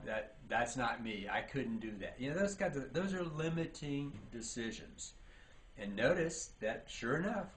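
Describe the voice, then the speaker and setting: A man giving an instructional seminar talk as a leadership trainer, speaking emphatically and posing rhetorical questions. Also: medium pitch. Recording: clearly audible background noise.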